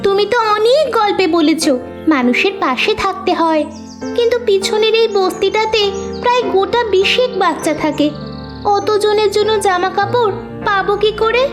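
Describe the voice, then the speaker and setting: Small earnest child for animated moral tale: very squeaky high pitch, kind-hearted, music underneath. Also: female voice. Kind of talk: children's cartoon acting.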